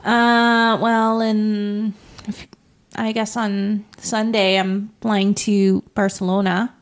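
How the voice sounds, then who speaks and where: A woman's voice: mid-range voice, level tone, very slow. Woman, casual podcast guest, chatty storyteller. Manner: chatty, says "like" often. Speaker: returning guest, long-distance hiker.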